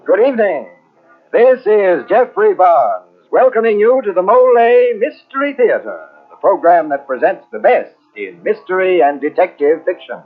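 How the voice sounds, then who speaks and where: A man's voice: sits high, very animated, moderate pace, husky. A man hosting a 1940s radio mystery, giving a formal, theatrical introduction. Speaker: radio show host. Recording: muffled old radio recording with music.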